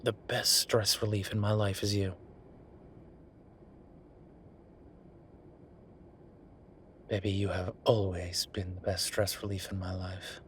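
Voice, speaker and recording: A man's voice: deep voice, little pitch movement, normal speed, fairly bright tone. A man playing a frustrated, world-weary werewolf official talking intimately with his partner in an audio roleplay, in a conversational, sighing way. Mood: affectionate, teasing. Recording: clear background noise.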